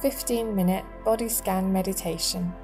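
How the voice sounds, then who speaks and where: A woman guiding a meditation in a soothing, measured manner, leaving long pauses between short phrases. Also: medium pitch, fairly even intonation, mellow, faint music behind. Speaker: meditation guide. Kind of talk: guided body scan meditation narration.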